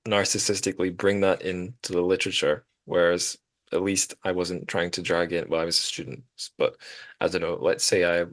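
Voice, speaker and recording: A man with a notably deep voice hosts a literary podcast. He talks casually and at length, wandering through his points with a self-deprecating touch. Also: level tone, brisk. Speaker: podcast host.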